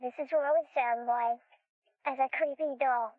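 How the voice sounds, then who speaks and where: A man speaking through an AI voice changer as a creepy doll, in a slow sing-song. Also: extremely high pitch, fairly even intonation, no echo, pitched above the performer's own voice. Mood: menacing. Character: creepy little doll girl, menacing. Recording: tinny, dry, phone-like sound.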